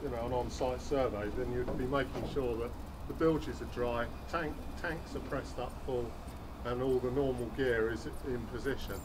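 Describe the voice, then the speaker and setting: A man's voice: mid-range voice, moderate pace, distant mic. A man instructing a group of surveyors on site at a marina, speaking directly to them. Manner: lively, addresses the group directly. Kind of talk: on-site teaching. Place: outdoor marina. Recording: loud outdoor noise.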